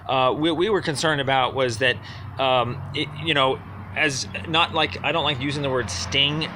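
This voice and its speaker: A man's voice: medium pitch, bright, forceful tone, slight background noise. A man calling in on the phone, explaining a complaint in a hesitant, rambling way. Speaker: caller. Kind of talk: phone conversation, arguing a complaint.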